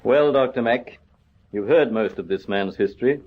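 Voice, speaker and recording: An actor playing an earnest, well-meaning prison governor and colonel in an old radio drama, formal and deliberate. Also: male voice, mid-range voice, natural voice. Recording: dull old radio recording.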